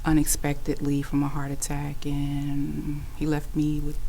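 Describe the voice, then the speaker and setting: Low-voiced woman, reflective, noisy recording. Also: monotone, unhurried, gravelly, dark tone. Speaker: actress interviewee. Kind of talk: personal interview answers.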